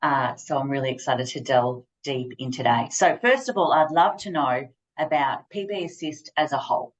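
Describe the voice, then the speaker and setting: A woman hosting an interview over a video call, enthusiastic and quick-spoken. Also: extremely low pitch, low-quality recording. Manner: enthusiastic, fast-talking. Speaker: host.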